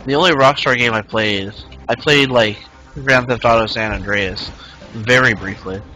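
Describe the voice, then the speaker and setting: Bright-voiced young man streaming casually. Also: mid-range voice, moderate pace, soft background music, rough recording. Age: 20s. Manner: rambling, reads chat aloud, many fillers. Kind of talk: casual live-stream chat while gaming.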